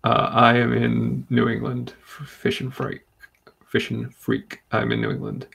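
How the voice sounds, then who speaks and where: A man from Rhode Island hosting a live comic book stream, chatting calmly and steadily while reading comments. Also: medium pitch, gravelly, slightly rough. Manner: calm, steady, unhurried. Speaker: livestream host.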